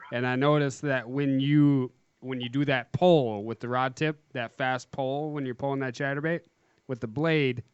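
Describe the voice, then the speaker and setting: A man explaining fishing gear in a casual video-call chat, measured and explanatory. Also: mid-range voice, steady tone, clean tone, no room sound, highs cut off. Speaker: angler guest.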